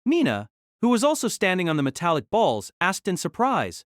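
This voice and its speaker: A narrator telling a fan audio drama story in an expressive storytelling manner. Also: medium pitch, normal speed, no room sound, studio quality.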